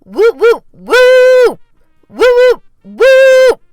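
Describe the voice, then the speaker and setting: A woman's voice: very high-pitched, sing-song, drawn-out words, a little mellow, no room sound, voice pushed up, exaggerated voice. A woman voicing an exuberant, friendly male garbage collector in a children's storybook. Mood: exuberant calling. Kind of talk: animated children's storybook read-aloud.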